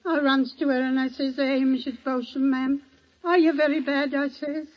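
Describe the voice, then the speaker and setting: A gossipy landlady, played by a woman with a high voice, running on in broad dialect and fussing over her tenant. The sound is dull and muffled, like an old radio broadcast. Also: little pitch movement, moderate pace, natural voice. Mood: garrulous. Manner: garrulous, dialect grammar. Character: chatty Irish landlady, gossipy and devoted. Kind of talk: 1940s radio acting.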